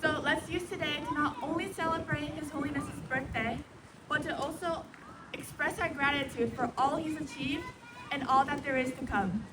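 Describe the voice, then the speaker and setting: A woman's voice: high voice, moderate pace. A woman giving an earnest prepared speech to a crowd at an outdoor park gathering. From Seattle, USA. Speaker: event speaker. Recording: distant, noisy outdoor recording.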